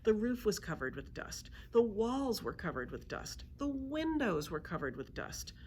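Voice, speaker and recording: A woman narrating a children's storybook aloud in an expressive read-aloud style. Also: mid-range voice, normal speed, slight background noise. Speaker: librarian reading aloud.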